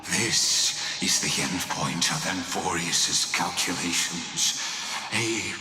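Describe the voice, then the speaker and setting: Casting: cold, preachy mastermind of destruction, low voice, theatrical game delivery, long reverb. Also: male voice. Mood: cold, condescending. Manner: measured, pompous, dramatic pauses. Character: grandiose, coldly fanatical male mastermind preaching destruction. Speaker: video game villain. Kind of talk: theatrical scripted game dialogue. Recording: echoing hall reverb, music behind.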